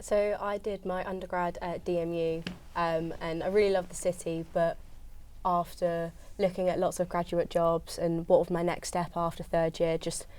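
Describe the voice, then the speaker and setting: A young woman from Surrey speaks low and even on a panel, with a smooth, warm tone. She gives steady, plain-spoken answers, and the sound is dry and close. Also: no room sound. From Surrey, England. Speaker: postgraduate student panelist.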